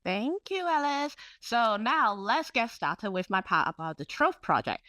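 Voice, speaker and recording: A woman's voice: fairly high pitch, sing-song, unhurried, pushed and bright, dry recording. A woman whose first language is Cantonese, presenting her part of a library webinar in a chatty, upbeat way.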